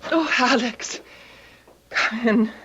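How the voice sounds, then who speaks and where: An actress playing a proud, defiant star dancer with a theatrical, formal manner in an old-time radio drama. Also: female voice, on the high side, takes it slowly, sharp tone, lo-fi sound. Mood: agitated. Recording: old radio recording with faint noise.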